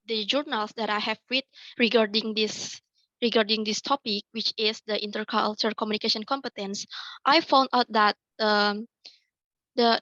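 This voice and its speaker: A woman's voice: on the high side, pushed and bright, no room sound, highs cut off. Young woman presenting research. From Indonesia. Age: young adult. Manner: hesitant, repeats and restarts words. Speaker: student presenter. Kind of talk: academic presentation. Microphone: video call.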